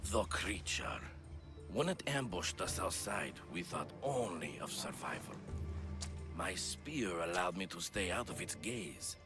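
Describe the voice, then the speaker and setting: A man's voice: low voice, very animated, unhurried, over music, full character voice. A man playing a grim, philosophizing mercenary spearman in a video game, solemn and brooding. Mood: grave. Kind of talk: dramatic video game acting.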